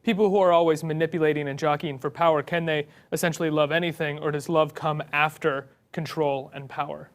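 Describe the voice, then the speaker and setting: A man's voice: a little high, fairly even intonation, a little fast, few pauses. A man hosting an interview, putting questions in a casual conversational style. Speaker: interviewer, host.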